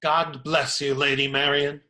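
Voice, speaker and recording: A man's voice: a little high, level tone, unhurried, sharp tone. A man playing a courteous, sympathetic friar who speaks politely and attentively in a radio play. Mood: gentle. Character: courteous, sympathetic friar, gently probing and flattering.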